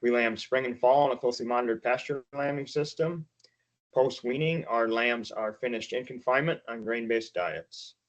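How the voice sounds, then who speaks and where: A man's voice: medium pitch, moderate pace, highs cut off. An American man from Michigan, a sheep farmer giving a prepared self-introduction with an American accent. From Michigan, USA.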